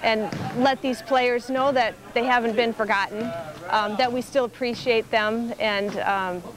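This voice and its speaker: An older woman being interviewed, recalling things informally in a halting, reflective way. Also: fairly high pitch, steady tone. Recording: clearly noisy interview recording.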